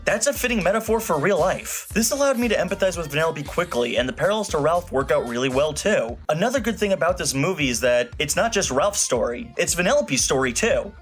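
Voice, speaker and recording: A man with a slightly high voice delivers scripted comedic film commentary. He is blunt, snarky and emphatic. Faint music plays behind him. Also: very animated, fast talker, few pauses. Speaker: video reviewer.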